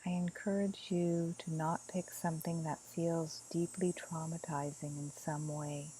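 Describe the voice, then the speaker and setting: A woman leading a guided meditation as a teacher, speaking gently and soothingly in soft phrases with long pauses. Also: deep voice, flat delivery, a little hiss or hum, poor audio.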